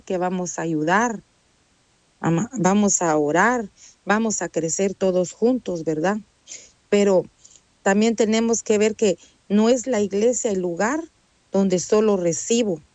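A woman's voice: medium pitch, a little mellow, no room sound. A woman preaching a sermon in an earnest, conversational way, often adding "amén" and "¿verdad?". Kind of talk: Spanish-language sermon.

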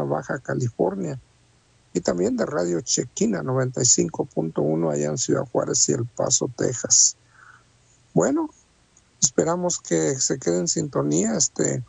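A male radio host speaking live from a studio booth, greeting listeners with church blessings. His voice is heavily gravelly and husky, set a little high for a man. He leans on fillers as he talks. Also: mellow, no room sound. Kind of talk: live radio show hosting. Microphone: studio microphone, talking over a phone line.

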